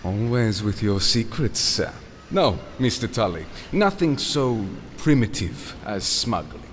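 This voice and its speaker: A man playing a suave, secretive ship captain with formal, smoothly polite manners in an adventure audio drama. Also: lowish voice, sing-song, measured pace. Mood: courteous, sly. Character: suave, courteous, secretive male ship captain, master of ceremonies. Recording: echoey hall with background noise.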